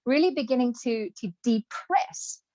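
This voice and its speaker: A woman's voice: fairly high pitch, measured pace, no echo, dull audio. A woman born in Zimbabwe and raised in South Africa, with a mixed South African and British accent, telling stories in a motivational talk with laughing asides. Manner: storytelling, animated, laughing asides. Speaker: speaker.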